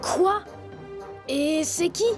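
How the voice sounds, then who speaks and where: A woman's voice: very high voice, music in the background, heavily acted. A woman voicing a timid, touchy young tiger boy in a cartoon dub. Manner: timid, quick to take offense. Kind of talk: French cartoon dubbing.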